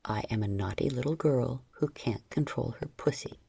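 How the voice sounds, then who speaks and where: A woman's voice: gravelly, warm tone, husky, dry recording, full character voice. A woman voicing a commanding, teasing adult male lover in an audiobook. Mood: stern. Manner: low, slow, dominant and teasing.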